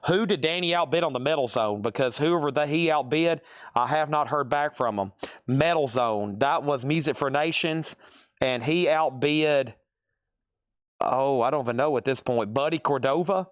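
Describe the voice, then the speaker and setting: A man hosting a live record sale stream, briskly listing items and current bids with chatty asides to viewers. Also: a little high.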